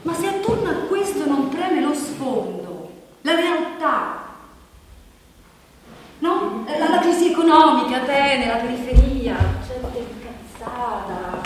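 An actress playing a troubled woman brooding on economic despair, in a halting, repetitive, insistent theatrical monologue. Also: high-pitched, lively intonation. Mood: agitated, searching. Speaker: stage actress. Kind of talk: theatrical monologue broadcast on radio. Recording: very echoey hall, distant mic, faint noise.

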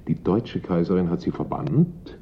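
Casting: wry, understated confidant in a radio drama, deep register, quietly ironic; lo-fi recording with faint noise. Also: male voice, lively intonation, a little slow. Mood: wry musing. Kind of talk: German radio drama acting. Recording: dull old radio recording, faint rumble.

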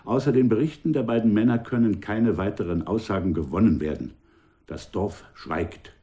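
Actor as low, mellow, wry commissioner. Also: male voice, moderate pace, natural voice. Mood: dry, briefing. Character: wry, confident male commissioner general in revolutionary era. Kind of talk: German radio drama acting.

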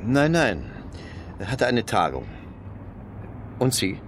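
An actor playing a reserved, polite former clerk in a German radio crime drama, speaking in a hesitant, clipped way. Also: male voice, medium pitch, big pitch swings, slow, a bit bright. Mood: polite, guarded. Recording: 1970s radio play with background noise.

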